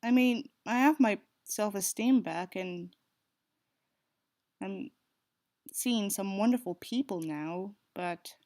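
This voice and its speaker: A woman's voice: medium pitch, takes it slowly, pauses often, darkish tone. A woman reading a novel aloud with sardonic commentary, in an exasperated, sarcastic manner with sweary asides. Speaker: audiobook reader and commentator.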